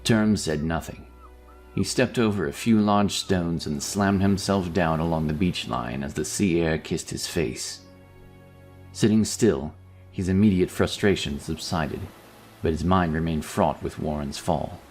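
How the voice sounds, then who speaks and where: A man with a very low voice narrates a scripted fantasy tale. He reads in a storyteller's register, with music playing faintly behind him. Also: measured pace, a little mellow. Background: background music.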